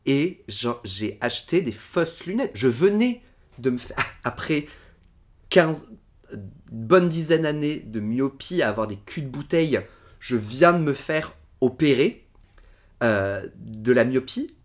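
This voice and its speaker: A man in his thirties co-hosting a podcast, his voice middle-pitched and even. He keeps things playful, firing off quick questions and slipping in puns. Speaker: podcast co-host. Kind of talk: casual chat.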